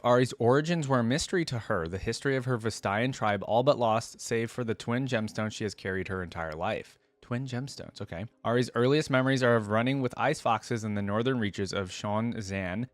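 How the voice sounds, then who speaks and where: A man's voice: lowish voice, dry recording. A man hosting a reaction video in a casual, conversational way, thinking aloud and reading aloud.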